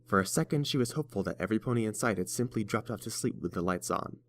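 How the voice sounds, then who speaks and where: A man's voice: lowish voice, lively intonation, fast. A man reading scripted fiction aloud as the narrator of an audiobook.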